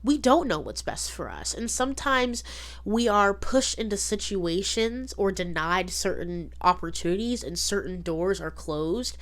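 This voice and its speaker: A young adult woman hosting a podcast solo, chatting casually and emphatically, often repeating words and saying "like". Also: lowish voice. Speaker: podcast host.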